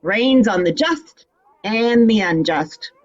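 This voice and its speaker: An actress playing a flippant, teasing retired heroine of sixty-five in a fan-made drama. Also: female voice, mid-range voice, lively intonation, takes it slowly, lo-fi sound. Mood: flippant, amused. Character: brassy, flippant 65-year-old retired heroine, a mother.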